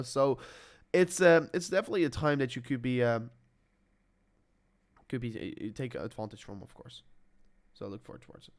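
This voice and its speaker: A man's voice: medium pitch, smooth. A man in his twenties talking in a rambling, motivational vlog monologue. He fills with "I mean" and "like", repeats phrases and corrects himself often. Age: 20s. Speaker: crypto YouTuber.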